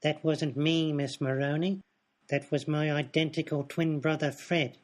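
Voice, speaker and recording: Unruffled, highish-voiced mystery man, played by an actor. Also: fairly even intonation, a little slow, close to an everyday voice. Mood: cagey, matter-of-fact. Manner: unruffled, matter-of-fact, cagey. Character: calm, cagey mystery man confessing to murder. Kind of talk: comedic radio play acting.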